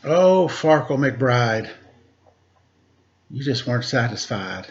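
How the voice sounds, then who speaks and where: A man reading aloud to children as a storyteller, with theatrical, drawn-out emphasis and casual asides. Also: mid-range voice, big pitch swings, slight background noise. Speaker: storybook reader, teacher. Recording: muffled lo-fi recording.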